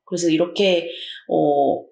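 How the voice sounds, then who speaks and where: A woman teacher from Jeju with a Jeju Korean accent, telling an anecdote in a chatty, animated way. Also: fairly low pitch, slow, gravelly, roomy sound.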